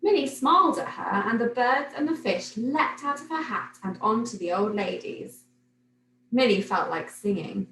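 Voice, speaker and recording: A woman reading a children's picture book aloud like a teacher, in an expressive, animated storytelling manner. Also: on the high side, normal speed. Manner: animated, clearly paced storytelling for young children. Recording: roomy echo, noticeable background noise.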